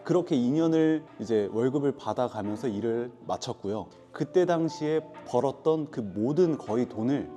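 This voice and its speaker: Lively young man with music behind. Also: mid-range voice, moderate pace, hardly any pauses. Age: 20s. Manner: plain, matter-of-fact storytelling. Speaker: guest, lodging business owner. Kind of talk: casual interview answers. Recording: music bed under the talk.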